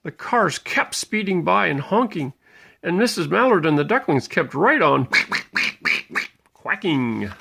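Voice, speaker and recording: A man reading a picture book aloud to young children, playful and expressive, pausing to point things out. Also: sits high, gravelly, slightly rough. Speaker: parent reading a bedtime story aloud.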